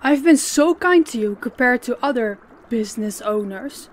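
A woman playing a stern, menacing female boss in an audio drama, speaking in a commanding, deliberate way. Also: on the high side, animated. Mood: furious, commanding. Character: stern, menacing female crime boss posing as business owner.

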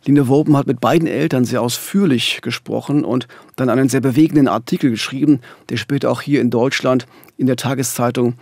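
A man's voice: mid-range voice, steady tone, fairly quick, few pauses, a little mellow, dry recording. A middle-aged man narrating a true-crime podcast as co-host at a studio microphone. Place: small radio studio. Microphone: studio microphone, headphones.

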